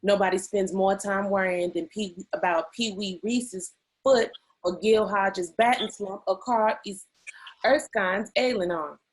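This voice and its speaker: A woman's voice: mid-range voice, measured pace, breathy edge. A woman playing a loyal wife defending her husband in a dramatic table read, earnest and emphatic. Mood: impassioned, protective. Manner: emphatic, heartfelt.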